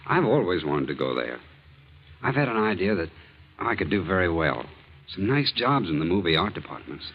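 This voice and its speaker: An actor playing an earnest, hesitant American bachelor, a shyly hopeful suitor in a 1940s radio drama. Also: male voice, deep voice, sing-song, normal speed, heavily acted. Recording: muffled, noisy old radio recording.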